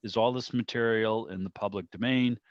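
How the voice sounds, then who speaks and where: A man's voice: on the low side, steady tone, brisk, clear, resonant tone, dry recording. A man hosting a club video call in casual chat, rambling and breaking off his own sentences. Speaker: club meeting host. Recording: slightly dull video-call audio.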